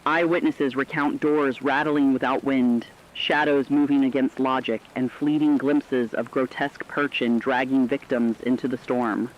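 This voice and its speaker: Casting: man playing serious radio reporter, medium pitch, deliberate doom-laden horror news read, slightly muffled lo-fi sound. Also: steady tone, natural voice. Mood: stern, foreboding. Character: stern radio reporter warning listeners of doom.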